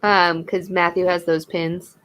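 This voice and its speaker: A woman's voice: fairly low pitch, little pitch movement, measured pace, fairly bright tone. Woman, co-host, short dry remarks, casual stream chat, home room. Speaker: podcast co-host. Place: home room, on video call.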